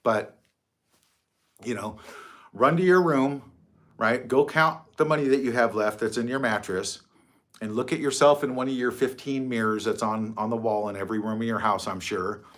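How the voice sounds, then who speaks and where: A man's voice: mid-range voice, normal speed, a bit bright. A middle-aged man hosting a casual livestream from his phone, rambling, mocking and swearing freely. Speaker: livestream host.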